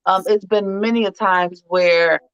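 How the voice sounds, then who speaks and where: A woman's voice: mid-range voice, fairly even intonation, a little slow, clear, resonant tone, dry recording. Encouraging woman host, dull dry sound. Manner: encouraging, informal phrasing. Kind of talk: casual interview chat.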